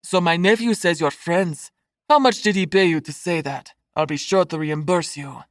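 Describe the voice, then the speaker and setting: A woman's voice: on the low side, normal speed, no room sound, studio quality, close to an everyday voice. A woman voicing a stern, scornful middle-aged man with a Spanish accent in an audiobook, hollow and precisely enunciated. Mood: cold, disdainful.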